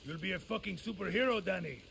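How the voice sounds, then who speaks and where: Game casting: a profane, gravelly old guerrilla with a raised, rough edge; steady noise beneath. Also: male voice, sits high, quick pace, exaggerated voice. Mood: boastful. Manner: profane, swaggering, laughs at danger. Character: grizzled older male guerrilla veteran, crude and boastful. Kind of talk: video game cutscene acting.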